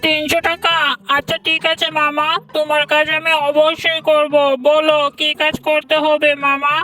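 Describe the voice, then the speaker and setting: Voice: high-pitched, completely flat delivery, normal speed, pushed and bright. A performer voicing a poor, humble male fox labourer in a cartoon, earnest and plaintive, with a woman's-sounding voice. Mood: eager. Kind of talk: cartoon voice acting. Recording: distant voice with music underneath.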